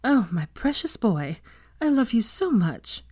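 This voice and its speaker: A woman's voice: a little high, big pitch swings, normal speed, dark tone, dry recording, pitched above the performer's own voice. A woman voicing a no-nonsense mother of a grown son, emphatic and emotional, fierce and loving. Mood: tender, relieved.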